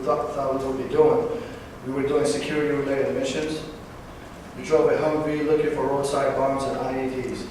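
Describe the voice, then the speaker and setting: A man in his twenties from Northern California, a veteran giving a personal talk, halting at times with fillers and self-corrections. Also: medium pitch. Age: 20s. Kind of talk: personal speech read to an audience. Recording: distant, echoey hall recording with background noise.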